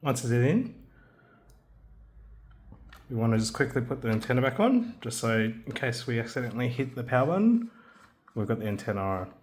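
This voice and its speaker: A man with a mid-range voice talks through assembly steps casually and carefully, in a halting, unscripted way. Faint background noise and small clicks sit behind his voice. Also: mid-range voice, big pitch swings, a little mellow. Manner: unscripted, careful, halting while working with hands. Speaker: presenter of a hands-on assembly tutorial. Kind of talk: casual step-by-step instructional narration.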